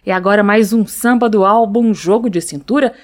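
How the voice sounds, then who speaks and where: A woman hosting a radio music program, introducing songs in a measured, informative announcing style. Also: medium pitch. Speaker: radio music show host.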